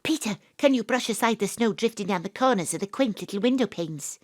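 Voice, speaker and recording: A woman's voice: mid-range voice, lively intonation, a little fast, lightly acted. A woman playing an exasperated, inquisitive young investigator in an audio drama, exclaiming and questioning. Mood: curious.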